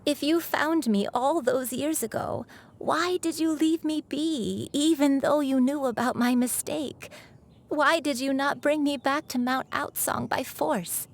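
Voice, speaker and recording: An actress playing a meek, emotional disciple in a video game, speaking earnestly and haltingly to her master. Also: sits high, slight background noise. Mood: anguished questioning. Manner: hesitant, earnest. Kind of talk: scripted game dialogue acting.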